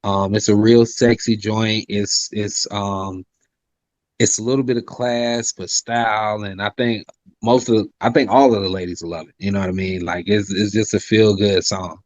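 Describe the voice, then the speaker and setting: A man's voice: fairly even intonation, moderate pace, no room sound, lo-fi sound. A man, talking casually as an interview guest, polite and full of "you know" fillers. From South Carolina. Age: 30s. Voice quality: high-pitched voice by his own account. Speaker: rapper and singer, interview guest.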